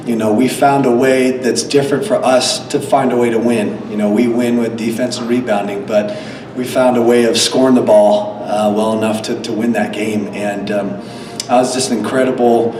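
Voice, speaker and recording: A man coaching a team, slightly hoarse from a cold, answering press questions with many fillers and restarts. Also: on the low side, steady tone, moderate pace. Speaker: head coach. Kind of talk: post-game press conference answers. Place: press conference room. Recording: echoey room, distant mic, background noise.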